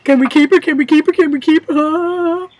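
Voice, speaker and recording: Woman doing an excitable high, hooting gorilla. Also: normal speed, no echo, close to an everyday voice. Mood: excited begging. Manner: pleading, hooting, repetitive. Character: excitable male gorilla, soft-hearted and hooting.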